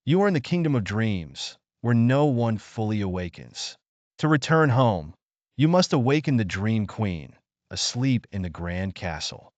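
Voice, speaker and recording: A man's voice: on the low side, big pitch swings, measured pace, a little mellow, no room sound, highs cut off. Regal old guy from a fairytale kingdom, voiced by a man, formal and portentous, dry audio. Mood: grave welcome.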